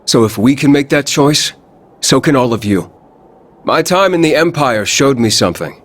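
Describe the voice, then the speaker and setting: An actor playing a confident, easygoing fighter with a proud, warm heart in a video game, speaking in an assured way. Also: male voice, medium pitch, lively intonation, moderate pace, close to an everyday voice. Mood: proud, encouraging.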